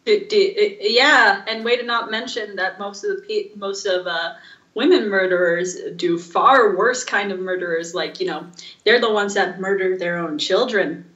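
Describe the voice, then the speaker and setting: A woman's voice: medium pitch, moderate pace, a bit bright, highs cut off. A woman co-hosting a podcast in casual, opinionated chat, recorded in an echoey room. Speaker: podcast co-host.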